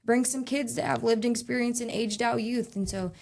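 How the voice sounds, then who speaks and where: A woman's voice: on the high side, steady tone, darkish tone. Earnest young woman talking casually. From Texas. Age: young adult. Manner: earnest, quick, many fillers and restarts. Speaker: guest, youth advocate. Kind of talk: casual interview conversation.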